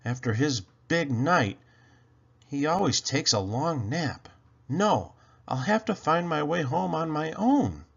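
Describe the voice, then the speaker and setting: A man's voice: on the high side, sing-song, takes it slowly, vocal fry, breathy edge, lo-fi sound, voice pushed up, heavily acted. A man voicing a tiny, tired, earnest Christmas elf in a storybook, anxiously wondering aloud to himself. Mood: homesick, earnest.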